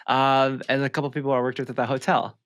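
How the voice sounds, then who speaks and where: A man's voice: mid-range voice, level tone, fast, a bit bright. A young man co-hosting a podcast in casual, slangy chat full of bro and dude. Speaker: podcast co-host.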